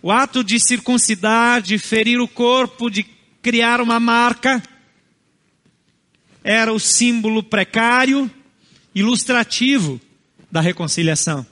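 A Brazilian man who first spoke another language at home, a pastor preaching an earnest sermon in short, measured phrases. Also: very high voice, pushed and bright, big-room sound. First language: not Portuguese.

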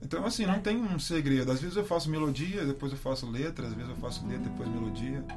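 A male guest musician from Rio de Janeiro state, chatting in a relaxed, slangy way. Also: medium pitch, fast, over music. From Cabo Frio, Rio de Janeiro state. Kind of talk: casual chat.

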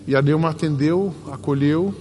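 A man with a Brazilian Portuguese accent preaching a sermon as pastor, telling stories earnestly with emphatic pauses. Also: on the high side, dark tone, faint music behind. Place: church sanctuary. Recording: long hall reverb, faint ambient noise.

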